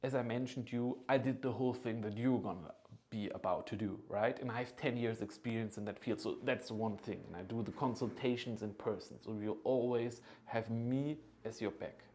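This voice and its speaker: A man in his thirties giving an informal, earnest promotional pitch as a consultant, persuasive and often checking in with the listener. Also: steady tone, normal speed, a little mellow. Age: 30s. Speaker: agency founder and study consultant.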